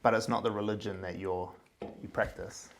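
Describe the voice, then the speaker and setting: A man hosting a podcast, prompting his guest with quick yeahs and probing questions. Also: fairly low pitch, steady tone, vocal fry, noisy background. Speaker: podcast host. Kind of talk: casual interview chat.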